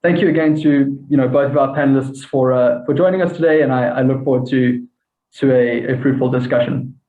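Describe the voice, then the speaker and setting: Hesitant moderator on a tinny call. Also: male voice, medium pitch, fairly even intonation, fairly quick, mellow. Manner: hesitant, polite, many fillers. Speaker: panel moderator. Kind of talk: hosting an online panel. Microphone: video call. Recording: tinny, echoey call audio.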